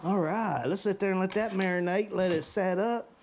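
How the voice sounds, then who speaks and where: Man, slightly high voice, folksy workshop chatter, faint background noise. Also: moderate pace, a little mellow. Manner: folksy and chatty, talks to viewers as "y'all". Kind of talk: casual workshop narration.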